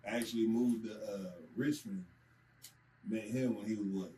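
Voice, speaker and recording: Man, low voice, from Michigan, rapper, laid-back anecdotes, light noise behind. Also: normal speed, low-quality recording. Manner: relaxed storyteller, tells anecdotes with acted-out quotes. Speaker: rapper and songwriter. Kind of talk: casual interview chat.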